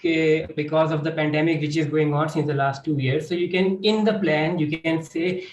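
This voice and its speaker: A man's voice: a little high, fairly even intonation, moderate pace. Man in an echoey room. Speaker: panelist. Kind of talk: informal spoken advice. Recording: echoey room, faint background noise.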